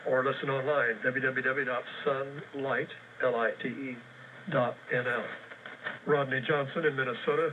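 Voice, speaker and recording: A man hosting a shortwave radio news show, reading listener reports and frequency lists in a brisk, matter-of-fact way with dry sarcasm. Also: mid-range voice, phone-quality sound. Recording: distant, faintly noisy shortwave radio relay.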